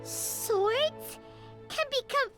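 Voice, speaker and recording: A woman voicing a tiny, childlike, plucky companion in a video game, squeaky, cartoonish and exclamatory. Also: animated, very slow, exaggerated voice. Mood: incredulous. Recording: loud game music behind the voice. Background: loud game music.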